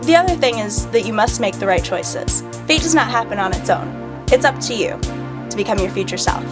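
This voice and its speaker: A woman's voice: animated, loud music behind the voice, lightly acted. An actress playing a mysterious female guardian of a magical relic, giving a theatrical, enigmatic address in a drama. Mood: ominous, knowing. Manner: theatrical, enigmatic, deliberate sales-pitch address. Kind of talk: scripted dramatic monologue.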